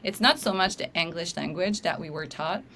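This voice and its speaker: A woman's voice: lowish voice, expressive, moderate pace, slight background noise. A woman with a French accent, whose first language is French, hosting a podcast and talking casually, sometimes hesitating to find a word. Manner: warm, hesitant, searching for words. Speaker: podcast host. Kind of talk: casual conversational interview.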